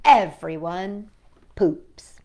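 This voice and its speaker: A woman's voice: lowish voice, very slow, dark tone. A woman hosting a children's storytime, reading aloud and chatting playfully with young listeners, often asking them questions. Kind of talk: children's picture book read-aloud with chatty asides.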